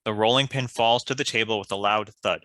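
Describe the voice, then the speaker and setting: Casting: male scripted narrator, crisp bright tone, slightly low, dry recording. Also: steady tone, a little fast, no room sound. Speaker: stage-direction narrator.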